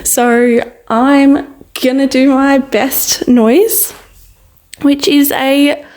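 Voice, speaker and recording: A woman's voice: high-pitched, level tone, extremely slow, darkish tone. A woman contestant in a casual rambling monologue, thinking aloud and interrupting herself. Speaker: game show contestant.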